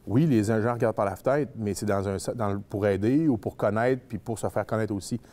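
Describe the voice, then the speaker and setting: A man's voice: on the low side, brisk, mellow. A man answering interview questions, hesitant, often repeating words as he searches for them.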